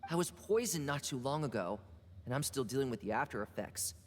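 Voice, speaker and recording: A woman's voice: rumbling low, expressive, a little fast, slight background noise. A woman playing a friendly, worldly older sibling in a video game, speaking in an encouraging and knowing way. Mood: knowing, wry. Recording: reverberant, faint game music behind.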